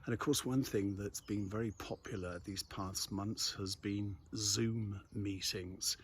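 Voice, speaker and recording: An older English man with a husky voice, talking reflectively and haltingly in an outdoor monologue as a vlogger. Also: lowish voice, fairly even intonation, slight background noise. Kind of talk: reflective monologue to camera on a walk. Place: outdoors in countryside.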